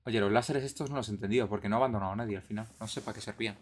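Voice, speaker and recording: A man's voice: on the low side, racing. Bemused Madrid man hosting a live game stream. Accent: Madrid Spanish. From Madrid area, Spain. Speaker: podcast host and streamer.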